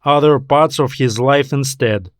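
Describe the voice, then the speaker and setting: A man's voice: medium pitch, a little slow, dry recording, studio quality, natural voice. A man voicing a terse alien reptiloid trader who bargains in clipped, formal fragments in a science fiction story. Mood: measured, pleading.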